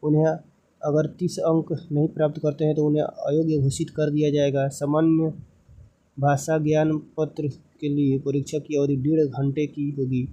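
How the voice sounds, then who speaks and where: A man presenting an explainer, reading official text aloud haltingly and repeating points in informal paraphrase. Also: fairly high pitch, monotone, mellow, low-quality recording. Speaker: presenter explaining a recruitment notice.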